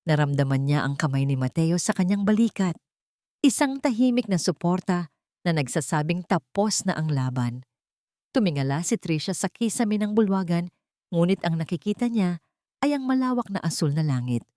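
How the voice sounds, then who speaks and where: A woman narrating a drama story in an expressive, dramatic way. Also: fairly low pitch, normal speed, no echo, clean studio sound.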